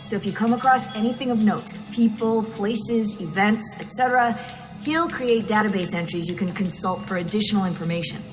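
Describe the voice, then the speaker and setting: An actress playing an eager, confident young tech whiz in a video game, upbeat and informal. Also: female voice, mid-range voice, brisk, faint music behind, lightly acted, low-quality recording. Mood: explanatory.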